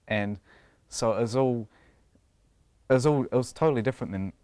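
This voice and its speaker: A man's voice: mid-range voice, normal speed, a little mellow, no room sound. A young man with a New Zealand accent, chatting casually in a TV interview and laughing often between his thoughts.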